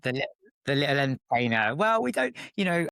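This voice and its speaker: A man's voice: a little high, very animated, brisk, creaky, sharp tone, clean tone, no room sound, studio quality. Enthusiastic male podcast host. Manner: enthusiastic, encouraging. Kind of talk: interview.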